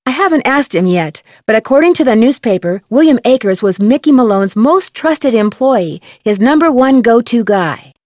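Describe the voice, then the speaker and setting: A woman playing a young amateur detective in a video game, speaking with an American accent in an articulate, measured, matter-of-fact way. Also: on the high side, expressive, a little mellow, no room sound, studio quality, lightly acted. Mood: informative.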